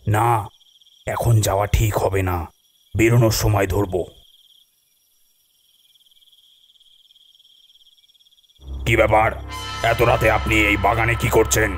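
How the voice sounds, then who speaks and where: A man's voice: fairly low pitch, flat delivery, normal speed, faint music behind, natural voice. A man voicing a hot-tempered, bossy village uncle in a cartoon, scolding and suspicious. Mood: wary, suspicious. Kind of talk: cartoon voice acting.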